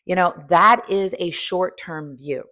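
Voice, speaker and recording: A woman's voice: on the low side, slow, sharp tone. A woman in her fifties giving a motivational coaching talk, emphatic and asking the listener direct questions. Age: 50s. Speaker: strength coach and host.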